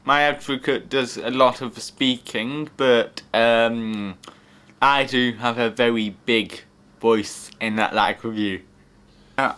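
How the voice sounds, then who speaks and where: A man's voice: mid-range voice, pushed and bright. A young man with an English accent speaking earnestly and a little hesitantly about the help he gets, with frequent fillers. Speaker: young person using the service. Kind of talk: interview testimony.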